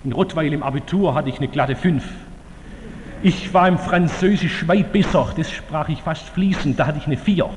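A man preaching a sermon to a large audience, slow and emphatic with fervent declamation and wry humor. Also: a little high, a little hiss or hum. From Württemberg, Germany. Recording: reverberant hall, faint ambience.

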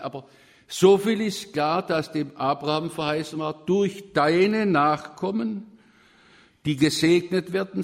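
A man with a Swabian accent preaching a sermon, emphatic and at times rising to fervent proclamation. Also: fairly high pitch. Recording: long reverb of a church.

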